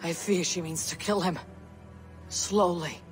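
A woman's voice: fairly low pitch, steady tone, unhurried, natural voice. A woman playing a grim, determined Viking warrior in a video game, speaking in a terse, commanding, grave way. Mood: distressed, grim. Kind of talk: dramatic video game cutscene acting. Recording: game music behind the voice.

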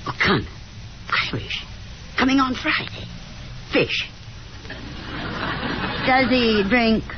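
Casting: fussy faded-belle mother, middle-aged, high animated voice, fretful and theatrical, vintage radio play. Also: slow. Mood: excited, fussing. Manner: dramatic, fretful, insistent. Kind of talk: 1950s radio drama acting. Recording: dull, noisy 1950s radio broadcast.

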